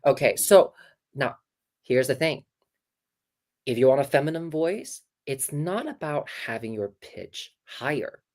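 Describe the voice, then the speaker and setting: A woman voice coach talking casually on a video call, animated and demonstrative, with many fillers and restarts. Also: very deep voice, unhurried. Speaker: voice coach guest. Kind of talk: casual interview, teaching.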